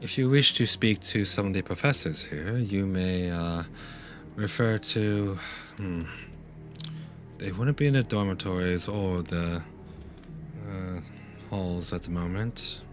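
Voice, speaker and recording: Guarded keeper of arcane books for a fantasy tabletop game, male player, very low voice, formal and measured, faint music behind. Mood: pensive, helpful. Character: formal, guarded female scholar librarian of magical lore.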